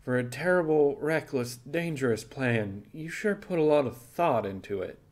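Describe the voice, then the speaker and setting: A man voicing a gruff, protective and skeptical animal crewman of a pirate ship, speaking flatly and tersely. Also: mid-range voice, normal speed, pitched above the performer's own voice, natural voice.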